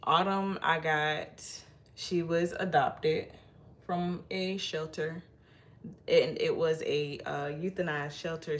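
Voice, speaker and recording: Shy, slow-talking chatty woman. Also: deep voice, a little hiss or hum. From Massachusetts, United States. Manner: shy, rambling, chatty with frequent restarts. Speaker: livestream host. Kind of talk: casual live chat with viewers.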